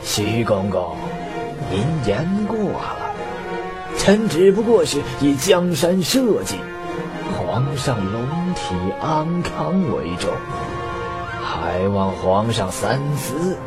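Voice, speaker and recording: A man's voice: mid-range voice, very animated, slow. A man voicing an arrogant, scheming elderly imperial father-in-law in a theatrical Chinese costume radio drama. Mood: smug. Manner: sneering, theatrical. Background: background music.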